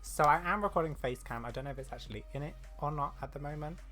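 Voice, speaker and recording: A woman's voice: sits low, quick pace, a bit bright, soft background music. A woman doing casual, rambling let's-play commentary as host of a gaming video. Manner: chatty, rambling.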